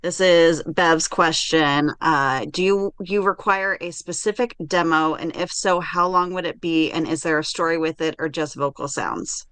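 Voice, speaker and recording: A woman's voice: low voice, normal speed, hardly any pauses, fairly bright tone, smooth, dry recording. A woman moderator casually reading out an audience question.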